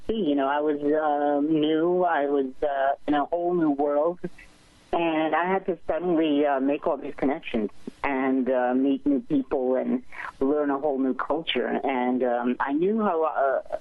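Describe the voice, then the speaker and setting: A man's voice: medium pitch, no echo. A man from Sri Lanka, a film director, talking earnestly in a radio interview with many hesitant fillers. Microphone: telephone. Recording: dry tinny phone line.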